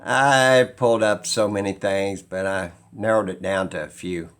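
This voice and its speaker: A man talking informally and skeptically as he explains something, halting and pausing mid-sentence as he muses. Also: lowish voice, steady tone, fairly bright tone, smooth. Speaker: video host.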